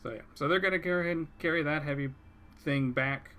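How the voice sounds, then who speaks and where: A man's voice: a little high, fast talker, sharp tone. A man giving easygoing, joking commentary as he co-hosts a game livestream. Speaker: co-host running a game livestream. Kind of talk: casual gameplay commentary.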